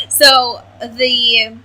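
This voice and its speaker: A woman's voice: high-pitched, pushed and bright, low-quality recording. A woman co-hosting a podcast, casually discussing a book in a wry, informal way. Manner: wry, informal, peppered with mild swearing. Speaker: podcast co-host.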